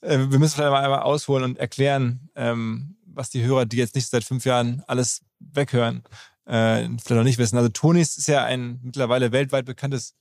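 A man's voice: mid-range voice, flat delivery, a little fast, gravelly, darkish tone, no echo, clean studio sound. A man hosting a podcast, speaking in a chatty, informal way. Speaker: podcast host. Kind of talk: casual podcast talk and interview, with host-read ad.

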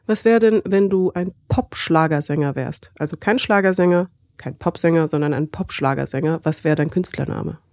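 A woman's voice: sits low, normal speed, hardly any pauses, darkish tone, dry recording, studio quality. A woman co-hosting a podcast, talking in a casual, conversational way. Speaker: podcast co-host.

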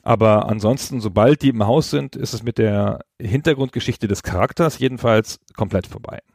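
Low, creaky male podcast host. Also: moderate pace, a little mellow, no room sound, clean studio sound. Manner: conversational, explanatory, colloquial. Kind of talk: casual video game discussion.

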